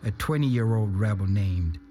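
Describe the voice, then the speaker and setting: A man reading a scripted literary narration in a measured, deliberate way, with pauses between phrases. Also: on the low side. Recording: music playing behind the voice. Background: music.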